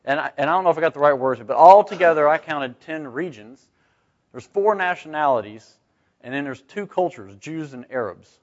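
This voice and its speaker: A man's voice: on the high side, lively intonation, a little fast. A man teaching scripture informally to a group as a Bible study leader, conversational and often putting questions to his listeners. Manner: conversational, rhetorical questions, asks "right?". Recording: dull, muffled lo-fi recording.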